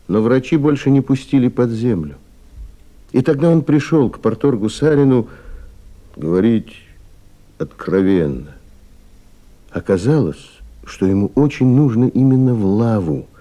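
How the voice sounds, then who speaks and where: A man narrating a radio play in a deliberate, weighty, expressive literary style. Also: medium pitch, muffled recording.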